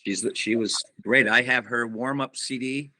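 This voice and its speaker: A middle-aged man, a musician guest on a podcast, talking reflectively in casual interview chat with many pauses and restarts. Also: mid-range voice, fairly even intonation, bright, forceful tone.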